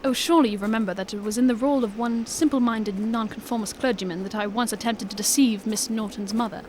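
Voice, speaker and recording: A woman acting a shrewd male detective in an amateur audio drama, brisk and genteel with old-fashioned phrasing. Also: on the high side, steady tone, a little mellow, natural voice. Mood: assured, wry. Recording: clearly noisy recording.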